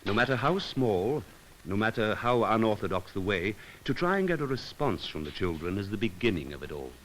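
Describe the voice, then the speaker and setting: A man reading scripted narration for an educational film, in a measured, solemn and poetic way with deliberate pauses. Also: fairly low pitch, very animated, a bit bright, slight background noise, low-quality recording. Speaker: documentary narrator.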